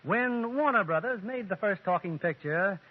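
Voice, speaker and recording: A man's voice: sits high, animated, normal speed. A man giving a scripted introduction as a 1930s radio announcer. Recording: muffled, reverberant 1930s radio recording.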